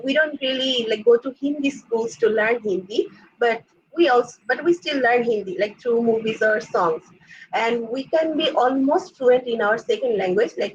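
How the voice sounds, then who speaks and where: Young woman presenting, husky and muffled. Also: fairly high pitch. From Bangladesh. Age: young adult. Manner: explanatory, frequent "like" and "uh" fillers. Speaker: graduate student presenter. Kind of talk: academic seminar presentation. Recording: dull lo-fi sound.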